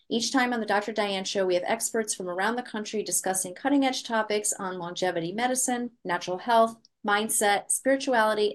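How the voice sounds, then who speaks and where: A woman hosting a talk radio show, reading a guest introduction and asking questions in an upbeat, emphatic manner. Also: mid-range voice. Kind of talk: scripted radio introduction and interview.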